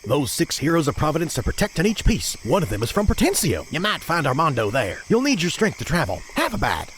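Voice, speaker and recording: A man's voice: a little high, huge pitch swings, fast, fairly bright tone, slight background noise. A man voicing a cheerful old swamp sage creature with a Southern US accent in a comic fantasy cartoon. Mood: excited storytelling.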